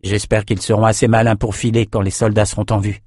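Man as a sensible, steady fantasy captain. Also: fairly low pitch, quick pace, dry recording, clean studio sound, natural voice. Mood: measured. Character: calm, sensible male military captain.

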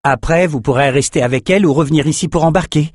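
A man voicing a calm, sensible military captain in a fantasy audiobook. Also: fairly high pitch, quick pace, breathy edge, no room sound, studio quality, pitched above the performer's own voice. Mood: firm, advising.

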